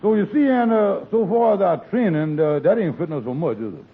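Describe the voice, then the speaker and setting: An actor playing a scheming, smooth-talking male would-be promoter with wheedling dialect speech, in 1940s radio comedy. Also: sits high, fairly quick, heavy background noise. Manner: wheedling, fancy-word talk, dialect speech. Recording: tinny old radio broadcast recording.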